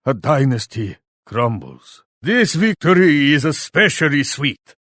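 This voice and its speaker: An actor playing a proud, menacing shogun warlord in a video game, speaking in a haughty, formal, theatrical way. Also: male voice, medium pitch, very animated, measured pace, fairly bright tone, no echo, studio quality, heavily acted. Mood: scornful, proud. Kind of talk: video game character taunts.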